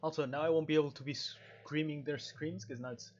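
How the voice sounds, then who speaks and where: A man with a slightly high voice plays a game and reads its dialogue aloud. He rambles casually and drops in joking asides. The sound is a little muffled, with some background noise from a home setup. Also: fairly even intonation, brisk, darkish tone. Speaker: let's play streamer. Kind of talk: gaming commentary, reading game dialogue aloud.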